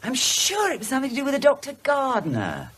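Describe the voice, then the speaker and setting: A woman's voice: sits high, huge pitch swings, brisk, a bit bright, slight background noise, exaggerated voice. An actress playing an inquisitive, decisive married woman in a British radio drama, speaking in a musing, emphatic way. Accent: British English.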